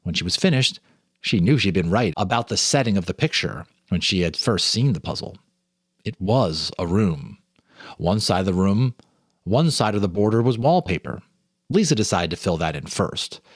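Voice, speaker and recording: A man's voice: deep voice, sing-song, normal speed, husky, dry recording, clean studio sound. A man reading a ghost story aloud as a storyteller, with a theatrical, suspenseful delivery. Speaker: storyteller, host.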